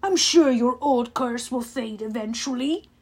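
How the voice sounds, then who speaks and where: Storybook god: woman plays a petulant male immortal, sarcastic and bored, unhurried, fairly high voice. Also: a little mellow, slightly rough. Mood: mock sympathy. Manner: sarcastic, dripping false sympathy, sighing.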